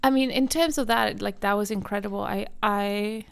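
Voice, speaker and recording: A woman's voice: mid-range voice, steady tone, moderate pace, gravelly, clean tone. A young woman from Norway, a native Norwegian speaker, talking casually as an artist being interviewed. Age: young adult. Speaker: podcast guest, visual artist.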